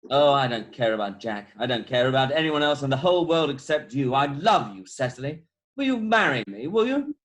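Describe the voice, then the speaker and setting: Casting: a man as vain, witty young gentleman in a stage comedy read-through, highly varied intonation. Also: sing-song, a little fast. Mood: ardent, wooing. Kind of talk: amateur play read-through. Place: at home on a video call. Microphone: video call.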